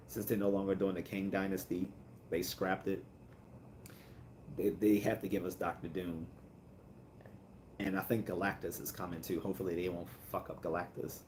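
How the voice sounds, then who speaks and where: A man hosting a casual livestream, answering viewer comments in a calm, rambling way with many fillers and restarts. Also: sits low, a little hiss or hum. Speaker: livestream host.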